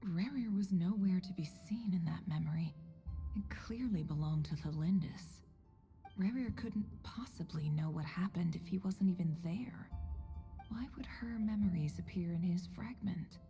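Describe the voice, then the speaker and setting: A composed, aloof female boss in a game, with a very dark, soft-edged voice on the low side. She is dry and measured, over faint music. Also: gravelly. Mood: pondering. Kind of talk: video game character acting.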